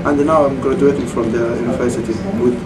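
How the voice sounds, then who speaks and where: A man in his thirties with a Saudi Arabian accent, a former student answering interview questions in halting English with frequent restarts, recorded in a computer lab. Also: medium pitch, fairly even intonation. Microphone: far camera mic. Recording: distant camera mic, air conditioning hum.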